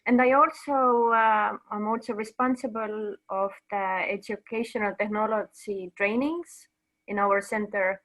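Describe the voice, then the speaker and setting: A woman's voice: medium pitch, steady tone, a little slow, a bit bright, poor audio. A woman, an education technology officer, introducing herself plainly and modestly over a video call.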